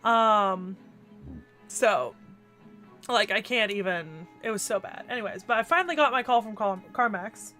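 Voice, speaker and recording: A woman's voice: mid-range voice, expressive, unhurried, pushed and bright, soft background music. A woman streaming a video game, chatting casually and rambling with lots of restarts and the odd swear, stuffy and congested from a cold. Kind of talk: casual livestream chat while gaming.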